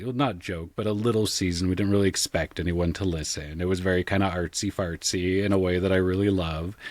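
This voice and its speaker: Male interview guest, very low voice, dry sound. Casual storyteller with a wry, self-deprecating manner. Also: dry recording. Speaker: podcast guest, audio drama creator.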